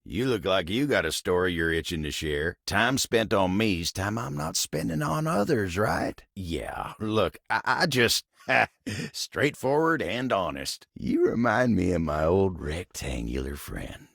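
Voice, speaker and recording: A man voicing a humble, hardworking living table in a video game, with a gravelly, husky Southern American drawl and a folksy manner. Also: sits low, very animated, unhurried, fairly bright tone, no room sound, clean studio sound, full character voice. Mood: uneasy, reluctant. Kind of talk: video game character voice acting.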